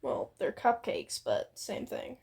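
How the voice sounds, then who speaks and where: A high-voiced woman narrates a story in a playful co-read. Her delivery swings widely in pitch and has some creaky fry in it. She is giggly and ready to step out of the text for banter. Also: big pitch swings, takes it slowly, darkish tone. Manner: giggly, breaks off to joke. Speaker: fan fiction reader and co-host.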